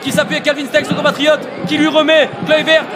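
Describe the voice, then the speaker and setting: A man giving excited live football commentary from a stadium booth into a headset, shouting and building suspense. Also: extremely high pitch, a little fast. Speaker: match commentator. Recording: loud crowd and music behind.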